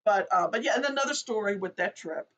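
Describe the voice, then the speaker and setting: A woman's voice: mid-range voice, expressive, fast talker. A woman in her fifties co-hosting a casual chat show, rambling and joking about herself. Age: 50s. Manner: rambling, jokey, self-deprecating. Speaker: co-host. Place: seated indoors at home. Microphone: phone camera. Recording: dull lo-fi phone recording.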